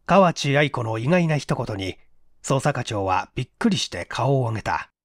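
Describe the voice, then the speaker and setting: A man's voice: medium pitch, big pitch swings, normal speed, no room sound. Male mystery narrator, dry close sound. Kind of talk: scripted mystery story narration.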